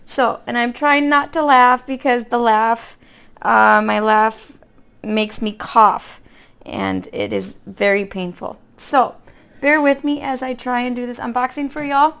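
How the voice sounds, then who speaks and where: A woman with a hoarse voice from being sick, chatting casually in a folksy, rambling way as a craft vlogger. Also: a little high, steady tone, measured pace, fairly bright tone. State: hoarse from illness with a cough. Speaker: craft vlog host. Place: indoor room at a table.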